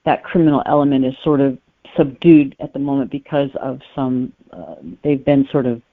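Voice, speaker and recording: A woman's voice: extremely low pitch, moderate pace, dry recording. A woman on a muffled, phone-like connection, talking as a guest author in an interview. The sound is narrow and close, with little room to it. The delivery is conversational and explanatory, with careful qualifications. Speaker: guest novelist.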